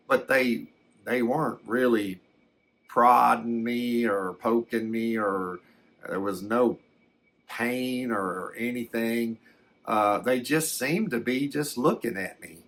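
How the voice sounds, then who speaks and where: A man playing a shaken, plain-spoken rural abductee, telling his story in a halting, rambling, folksy way as ASMR. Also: lowish voice, fairly bright tone. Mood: haunted, uneasy.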